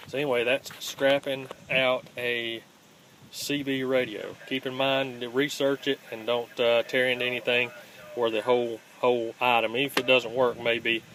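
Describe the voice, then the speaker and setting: A man with a middle-pitched, flat voice walks through a hands-on demonstration. He talks plainly and haltingly, unscripted, like a casual how-to host. It is a home phone recording with faint noise behind him. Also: rough recording. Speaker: host of a scrapping how-to channel. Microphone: handheld phone, filming one-handed.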